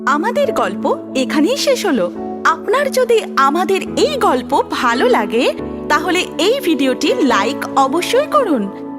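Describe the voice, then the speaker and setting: High, animated woman storyteller. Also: takes it slowly, over loud music. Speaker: storyteller, narrator. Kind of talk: scripted children's cartoon story narration.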